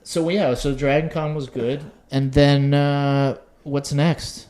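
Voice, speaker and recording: A man's voice: fairly high pitch, level tone, slow, darkish tone. A man on a podcast, chatting casually and asking easygoing questions to keep the talk moving. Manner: easygoing, asks questions to move the talk along. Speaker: podcast co-host. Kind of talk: casual chat.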